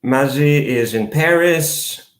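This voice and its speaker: A man's voice: a little high, takes it slowly, reverberant. A Brazilian man hosting a live online interview, hesitant, with many fillers and restarts. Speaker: host.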